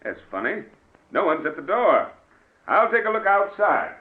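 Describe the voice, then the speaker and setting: A man's voice: sits high, very animated, moderate pace. An actor playing an educated, matter-of-fact policeman in 1940s radio drama style. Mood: reassuring. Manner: matter-of-fact, well-spoken. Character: male policeman, educated, brisk and reassuring. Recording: dull, hissy vintage radio recording.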